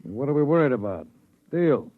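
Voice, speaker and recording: A man's voice: mid-range voice, sing-song, moderate pace, lightly acted. An actor playing an irritable, tough male spaceship crewman with curt, needling talk in 1950s radio drama style. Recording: dull, hissy vintage radio recording.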